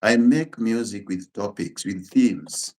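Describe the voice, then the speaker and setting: A middle-aged Kenyan man, a Luo speaker, talks as a guest on a casual show. His voice sits a little low. He speaks in plain, unhurried sentences and often pauses or restarts a phrase. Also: darkish tone. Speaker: musician and pastor guest. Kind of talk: casual interview chat.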